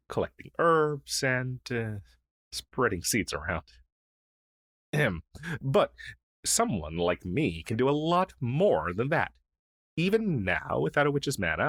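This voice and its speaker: A man's voice: mid-range voice, fairly bright tone, no echo, clean studio sound, exaggerated voice. A man playing a friendly, shy crow familiar in an intimate fantasy audio roleplay, speaking in a hesitant, earnest, conversational way. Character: friendly, shy crow familiar, a masterless male magical helper. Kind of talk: intimate ASMR roleplay acting.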